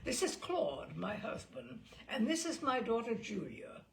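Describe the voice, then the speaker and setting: A woman's voice: on the high side, very animated, unhurried, vocal fry, roomy sound, a little hiss or hum, full character voice. A woman reader voicing a stern, suspicious middle-aged woman with a brusque, bossy manner.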